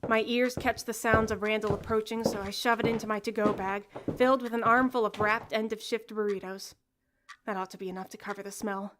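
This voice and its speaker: An actress playing a jaded, sardonic fast-food worker in her early twenties, dry and wry, in an audio drama. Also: medium pitch, steady tone, background noise, lightly acted. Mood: bitter, wry. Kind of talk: first-person audio drama narration and dialogue.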